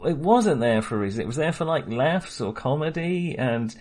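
A man's voice: on the high side, expressive, moderate pace, a little mellow. A man co-hosting a casual film podcast, talking with many fillers and restarts. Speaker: podcast co-host.

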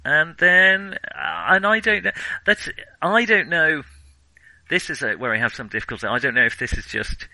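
Bright, forceful man talking film. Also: fairly high pitch, big pitch swings, moderate pace, dry recording, low-quality recording. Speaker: podcast co-host. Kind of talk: casual film discussion.

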